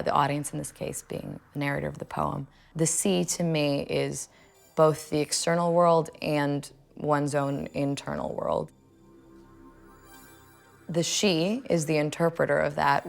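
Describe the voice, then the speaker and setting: A young adult woman speaking in a thoughtful, measured way, as when reading poetry or reflecting on it. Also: sits low, gravelly. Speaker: poetry reader and commentator. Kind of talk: poem recitation and personal reflection.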